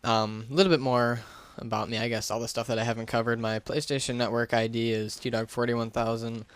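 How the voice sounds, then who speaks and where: A man's voice: medium pitch, little pitch movement, a little fast, smooth. A man giving a casual, unscripted gaming commentary into a gaming headset, talking in a hesitant, rambling, self-deprecating way. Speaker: gaming YouTuber.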